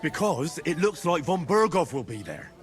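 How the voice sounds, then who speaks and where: An actor playing a patient, practical young commoner in a video game, down-to-earth and a little wry. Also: male voice, a little high, big pitch swings, moderate pace, soft background music. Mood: earnest. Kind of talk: dramatic video game dialogue.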